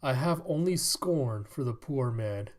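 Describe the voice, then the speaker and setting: A man's voice: medium pitch, lively intonation, unhurried, lightly acted. A man voicing a haughty young female courtesan who speaks with scorn. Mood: cold scorn.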